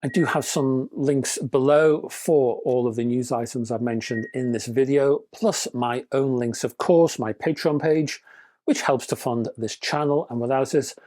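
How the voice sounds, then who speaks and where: Guy doing a hi-fi news roundup, mid-pitched, dry wry jokes between specs, chatty with the folks watching. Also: steady tone, measured pace, a little mellow. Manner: dry, wry humor between spec readouts; chatty, addresses viewers as folks. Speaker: hi-fi news channel host. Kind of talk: scripted news roundup with asides.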